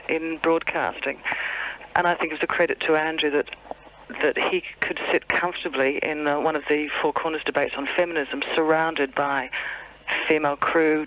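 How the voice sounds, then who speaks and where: A woman reminiscing as a radio guest by telephone, thoughtful and halting. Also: low-pitched, a bit bright. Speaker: TV director, radio interview guest. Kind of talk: spontaneous reminiscing. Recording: muffled telephone line on radio.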